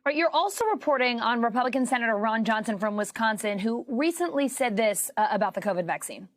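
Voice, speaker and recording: A woman's voice: on the high side, animated, quick pace, dry recording. An American woman working as a news anchor, presenting stories and putting questions in a conversational broadcast style.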